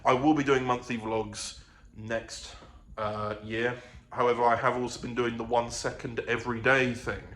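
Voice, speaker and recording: A man's voice: medium pitch, little pitch movement, normal speed, a bit bright. A man chatting casually to a vlog, rambling hesitantly, correcting himself and trailing off. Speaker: vlogger. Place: living room of own apartment. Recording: echoey room with faint ambient noise.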